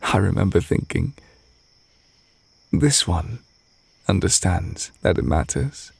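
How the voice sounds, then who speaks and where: A man voicing a tender, reserved witch teacher in a romantic ASMR roleplay, speaking softly and hesitantly, close to the mic with crickets behind. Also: deep voice, expressive, gravelly, husky, dry recording, heavily acted. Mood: wistful, tender. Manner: soft, intimate, hesitant, gently measured. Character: tender, reserved male witch teacher confessing love to partner. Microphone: close microphone.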